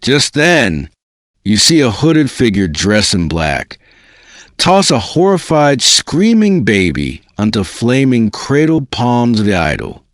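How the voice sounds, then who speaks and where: A man reading a book aloud as a dramatic narrator, with a deliberate storytelling delivery. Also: medium pitch, big pitch swings, no echo, studio quality. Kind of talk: scripted dramatic audiobook narration.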